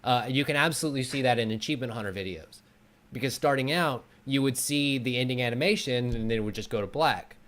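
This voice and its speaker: A man with a medium-pitched voice talks as a guest video creator. His delivery is casual and explanatory, like an informal conversation. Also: fast talker, a bit bright.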